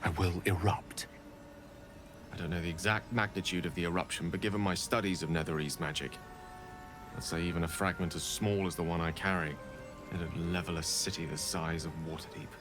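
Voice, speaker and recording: An actor playing a learned, earnest wizard in a fantasy video game, speaking in florid, measured, dramatic phrases. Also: male voice, sits low, steady tone, over music, close to an everyday voice. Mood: somber. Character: learned male wizard, eloquent, theatrical, earnestly remorseful.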